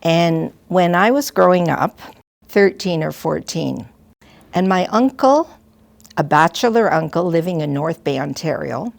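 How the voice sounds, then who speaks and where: Older woman, low gravelly voice. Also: lively intonation, no room sound. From Canada. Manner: gentle, halting, with frequent restarts and soft asides. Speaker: retired school principal. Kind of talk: reflective storytelling to students.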